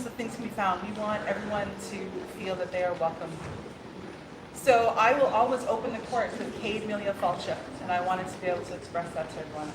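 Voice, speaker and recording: A woman from Ireland speaking as a new princess opening a court, laughing often and stumbling over fillers. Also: medium pitch. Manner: warm, laughing, many fillers and restarts. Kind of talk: ceremonial speech and casual exchange. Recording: echoey hall with background noise.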